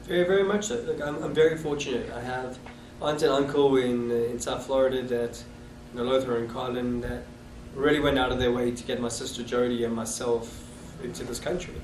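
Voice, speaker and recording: A man in his thirties with a South African accent, recalling his past with halting restarts in an oral history interview. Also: medium pitch, steady tone. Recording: echoey room, distant mic, noisy.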